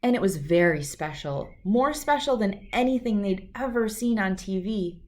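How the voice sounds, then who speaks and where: A woman reading a children's storybook aloud in an expressive narrating style. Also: mid-range voice, moderate pace. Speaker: storybook reader.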